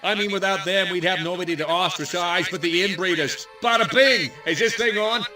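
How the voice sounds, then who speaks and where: An actor playing a glib, shifty, pompous American small-town mayor, speechifying in a comic video game cutscene. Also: male voice, high voice, a little fast, sharp tone. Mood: glib, evasive. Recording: distant microphone, music behind.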